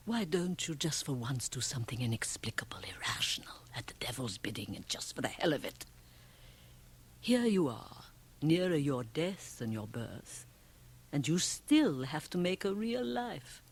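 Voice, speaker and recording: Shrewd woman, low husky voice, blunt and theatrical, radio play. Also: big pitch swings, unhurried, slight background noise, full character voice. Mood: coaxing, provocative. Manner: emphatic, theatrical, probing. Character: shrewd, worldly, provocative Swiss woman, blunt and teasing. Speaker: radio drama actress. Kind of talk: radio drama acting.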